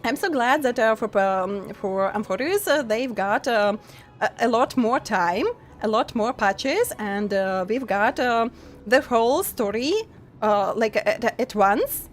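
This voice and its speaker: A young woman streaming a game and chatting casually with her viewers, giggling often and pausing to restart her sentences. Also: a little high, faint music behind. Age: young adult. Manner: giggly, halting with many pauses and restarts.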